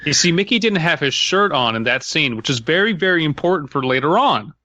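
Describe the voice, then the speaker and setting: A man's voice: on the high side, animated, fairly quick, pushed and bright, no room sound, lo-fi sound. A man co-hosting a podcast, joking casually in a mock-serious way. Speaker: podcast co-host.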